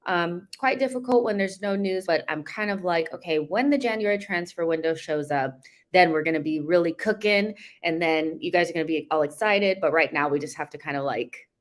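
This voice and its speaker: A woman's voice: on the low side, brisk. A young woman hosting a casual live stream, chatty and upbeat, with many fillers. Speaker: host.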